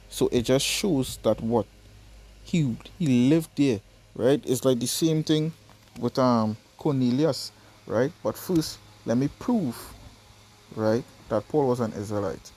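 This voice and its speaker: A man's voice: medium pitch, dark tone. A man from Trinidad with a Trinidadian accent, reading Bible verses aloud and explaining them in a casual teaching style that keeps checking in with "right?" and "you understand?".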